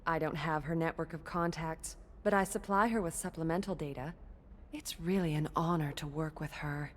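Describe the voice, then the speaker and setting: A woman's voice: sits low, moderate pace, slight background noise, natural voice. A woman playing a polite, deferential alien assistant in a video game, speaking formally. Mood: polite, respectful. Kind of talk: video game dialogue acting.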